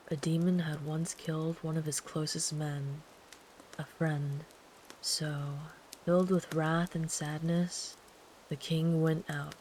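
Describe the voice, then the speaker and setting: A woman playing a kind, protective traveler in an ASMR sleep-aid roleplay, speaking gently and soothingly in a hushed, partly whispered voice. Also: sits low, slight background noise, low-quality recording. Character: kind, protective traveler comforting a runaway by a campfire.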